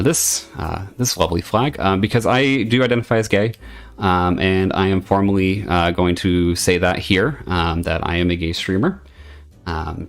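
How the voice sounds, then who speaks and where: Male streamer, deep and gravelly, earnest and hesitant, casual home chat. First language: English.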